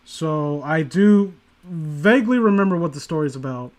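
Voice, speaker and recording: A man with a notably high voice hosts a casual group chat. He rants and jokes in animated banter, swearing freely as he goes. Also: normal speed. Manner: profane, joking, animated banter. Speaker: host of a story reading stream.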